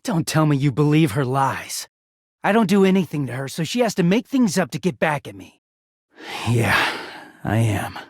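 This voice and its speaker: Exasperated young laundry guy, video game character, sardonic, foul-mouthed, animated pitch, rough-edged tone, close dry sound. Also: normal speed, no echo. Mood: weary, resigned. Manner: sardonic, foul-mouthed, swinging from muttering to shouting. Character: bitter, exasperated young male dirty-laundry character in toxic romance.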